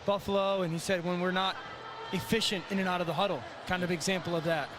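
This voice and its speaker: High-pitched male football analyst, noisy feed. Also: steady tone, fast talker. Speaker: TV color analyst. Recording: noisy game broadcast feed.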